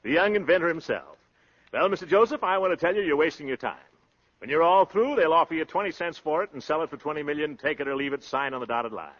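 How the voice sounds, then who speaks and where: A muffled 1930s radio recording of a breezy show-off suitor. His voice sits fairly high and swings widely in pitch, full of glib slang and pompous flourishes. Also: lively intonation, quick pace. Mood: boastful. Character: brash, boastful young suitor, a breezy windbag show-off. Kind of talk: 1930s radio comedy acting.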